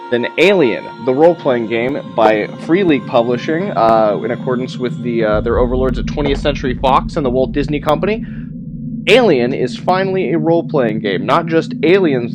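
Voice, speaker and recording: A man hosting a tabletop gaming channel, presenting to viewers in a playfully theatrical way. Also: medium pitch. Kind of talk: casual presentation to viewers, with some read-out game text.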